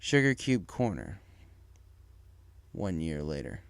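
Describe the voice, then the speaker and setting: A man's voice: low-pitched, sing-song, slow, creaky, no echo. A man giving scripted narration of a dramatic story as its narrator.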